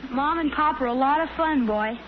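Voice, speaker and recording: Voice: sits high, normal speed, lightly acted. A performer playing a curious, easygoing young American boy in a 1940s radio sitcom. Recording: muffled, noisy 1940s radio broadcast.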